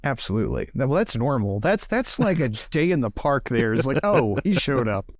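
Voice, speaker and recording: A man's voice: medium pitch, very animated, normal speed, no echo, clean studio sound. A man playing a tabletop game, chatting casually and thinking aloud with a joking manner.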